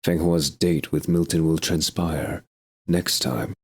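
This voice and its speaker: A man's voice: sits low, flat delivery, takes it slowly, husky. A man narrating a serialized audio drama from a script.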